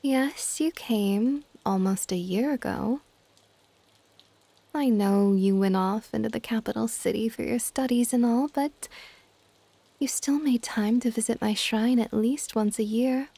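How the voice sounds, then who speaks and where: A woman playing a possessive, affectionate dragon goddess in an ASMR audio roleplay, with a soft, whispery, teasing and intimate delivery. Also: no room sound. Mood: affectionate, doting. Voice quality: soft, breathy whispering mixed with low-volume speech. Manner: doting, teasing, unhurried.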